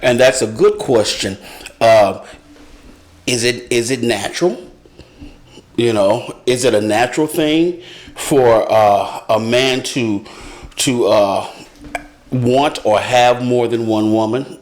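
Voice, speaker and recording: A man's voice: medium pitch, takes it slowly, pauses often. A man speaking as a guest in a casual panel discussion, hesitant and musing, posing questions to himself.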